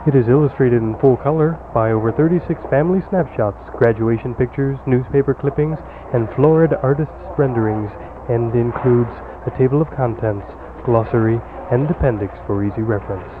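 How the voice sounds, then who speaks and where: A man's voice: medium pitch, brisk, hardly any pauses, natural voice. A man playing an earnest church deacon announcer, reading a mock radio advertisement with a formal, polished sales delivery. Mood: earnest, promotional. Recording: noisy, phone-like 1980s radio recording.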